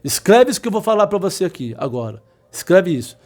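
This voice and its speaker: A man in his fifties with a fairly high voice, coaching electronics students. He speaks insistently and repeats each point, slowing down to stress key words. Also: big pitch swings, darkish tone. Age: 50s. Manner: repetitive, emphatic, insistent, with sudden slow stressed words. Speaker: electronics instructor. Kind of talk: motivational talk to students.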